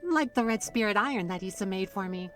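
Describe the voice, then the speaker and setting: A woman's voice: medium pitch, lively intonation, brisk, fairly bright tone, recorded from a distance, soft background music, natural voice. A woman playing a playful, proud young witch who talks in a teasing, theatrical way in a fantasy audio drama. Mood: teasing, intrigued. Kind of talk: fantasy audio roleplay acting.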